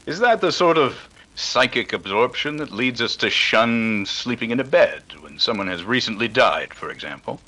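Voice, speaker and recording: An actor playing a cool, skeptical detective with dry, precise speech, in a 1930s-style radio drama. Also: male voice, medium pitch, lively intonation, moderate pace, hardly any pauses, sharp tone, rough recording. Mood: cool, inquiring.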